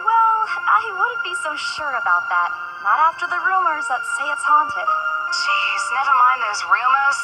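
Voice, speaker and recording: Animated, high-pitched young woman. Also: poor audio. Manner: animated, expressive. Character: two young female realtor friends chatting by phone, one breezy, one anxious. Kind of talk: visual novel game voice acting. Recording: game audio with music behind. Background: game music.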